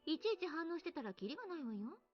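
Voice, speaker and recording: Brisk, condescending anime woman. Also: high-pitched, sing-song, dull audio. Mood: teasing. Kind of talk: Japanese visual novel voice acting. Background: game music.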